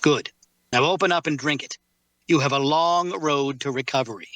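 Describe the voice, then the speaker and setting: A man playing a composed, faintly menacing captor in an audio drama read, speaking in measured, formal, precise phrases. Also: on the high side, a bit bright, no room sound, natural voice. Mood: firm, condescending. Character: composed, faintly menacing male captor tending his prisoner.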